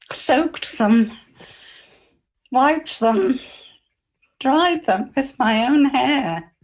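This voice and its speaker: Woman playing slow-speaking grieving biblical devoted woman. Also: fairly high pitch, low-quality recording. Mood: tender. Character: grieving devoted Mary of Bethany, tender and anguished. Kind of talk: dramatic monologue in a church service.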